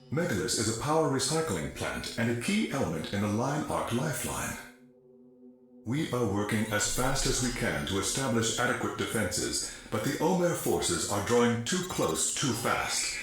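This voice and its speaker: An actor playing an earnest, grave official in a video game, formally requesting military help. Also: male voice, medium pitch, very animated, normal speed, fairly bright tone. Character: earnest, urgent male official pleading for defense. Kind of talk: scripted mission request, video game voice acting. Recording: very echoey, faint music behind.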